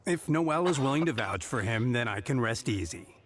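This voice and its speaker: A man's voice: mid-range voice, animated, moderate pace, a little hiss or hum, lightly acted. A man voicing an officious, rule-bound knight on gate duty in a video game, formal and stiff. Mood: pondering. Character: officious, rule-bound male knight guarding city gates. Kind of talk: scripted video game voice acting.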